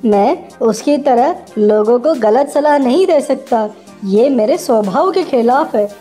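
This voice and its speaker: A woman's voice: on the high side, animated, a little slow, mellow, soft background music, pitched above the performer's own voice. A woman voicing a poor, honest village priest, a man who speaks earnestly and despairingly. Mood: firm, earnest. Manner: earnest, pleading.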